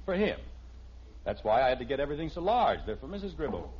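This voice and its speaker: A man's voice: on the high side, very animated, fast talker, slight background noise. An American actor playing a flustered, sheepish middle-aged father who stammers and hesitates in a 1950s radio sitcom. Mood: earnest, defensive. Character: flustered, sheepish family father trying to explain himself. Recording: muffled old-time radio recording.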